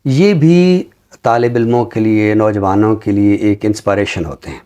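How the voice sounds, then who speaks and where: A man with a fairly low voice discusses as an academic guest. His phrasing is formal and measured, with deliberate pauses between short phrases. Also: warm tone.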